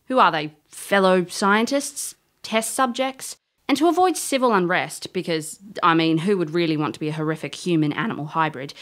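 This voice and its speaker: A woman's voice: on the low side, a bit bright, natural voice. A woman playing a bold, sarcastic magic scholar and adventurer in an audio drama. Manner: sarcastic, cheeky, confident.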